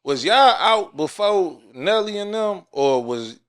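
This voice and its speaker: A man hosting a casual interview, asking loose, halting questions. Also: high-pitched, animated, a bit bright, smooth. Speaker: podcast host.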